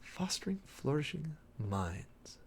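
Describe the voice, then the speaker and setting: A man's voice: a little high, very animated, takes it slowly, gravelly, slight background noise. A man giving a dramatic, emphatic reading of an official document, breaking off often for sardonic asides and commentary as host. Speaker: host, preacher.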